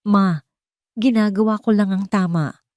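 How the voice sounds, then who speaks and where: A woman's voice: mid-range voice, fairly even intonation, measured pace, darkish tone, no room sound, voice pushed up, lightly acted. A woman narrator reads as an earnest male doctor in an audio drama. He is troubled and devoted, with subdued, sincere speech in a dry, close recording.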